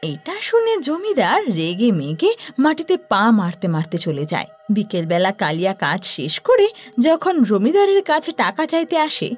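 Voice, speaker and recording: A woman narrating a scripted horror story in an expressive storytelling manner. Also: medium pitch, normal speed, soft background music.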